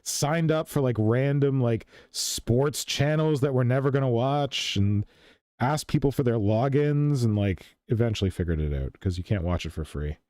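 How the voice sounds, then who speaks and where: A man's voice: mid-range voice, normal speed, darkish tone, dry recording. A man hosting a crafting livestream, chatting in a dry, teasing way from his laptop. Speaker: livestream host. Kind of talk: casual chat while crafting.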